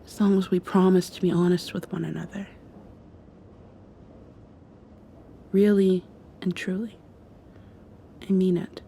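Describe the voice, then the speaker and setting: A woman playing a shy, flustered young vampire girl in love in an intimate ASMR roleplay, speaking haltingly and often dropping toward a whisper. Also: mid-range voice, a little hiss or hum, lightly acted. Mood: earnest, tender. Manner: soft, halting, breathy whispers and nervous laughs.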